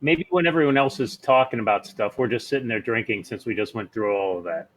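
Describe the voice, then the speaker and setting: Male tabletop gamer, a bit low in pitch, informal conversational delivery. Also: quick pace, a bit bright, poor audio. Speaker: player in a tabletop role-playing game. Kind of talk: casual chat, planning a scene.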